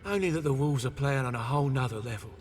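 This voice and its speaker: An actor playing a practical, tech-savvy mercenary mechanic in a video game, casual and plain-spoken. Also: male voice, mid-range voice, animated, fast talker, slight background noise, lightly acted. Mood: matter-of-fact. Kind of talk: cinematic game voice acting.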